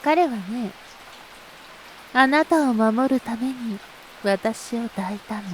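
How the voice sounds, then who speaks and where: A woman's voice: on the high side, slow pace, a little hiss or hum, low-quality recording. A woman voicing a teasing, seductive, spiteful adult woman in a Japanese audio drama, with a coy, taunting delivery. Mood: smug seduction. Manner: coy, taunting, breathy giggles between phrases.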